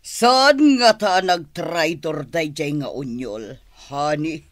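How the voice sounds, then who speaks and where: Scheming Filipino sidekick: animated, insinuating, close dry sound, radio drama villainy. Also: male voice, on the high side, takes it slowly, no room sound. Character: sly, scheming henchman advising his boss.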